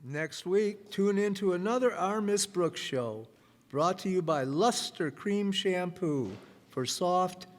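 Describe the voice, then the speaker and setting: A man playing a polished old-time radio announcer and pitchman. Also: lively intonation, takes it slowly. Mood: smooth selling. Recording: echoey hall stage recording.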